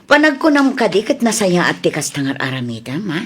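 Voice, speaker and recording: A woman's voice: lowish voice, very animated, brisk, slightly rough. A woman acting a suspicious, firm shop owner who probes and questions, in an audio comedy drama. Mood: stern. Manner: probing, firm.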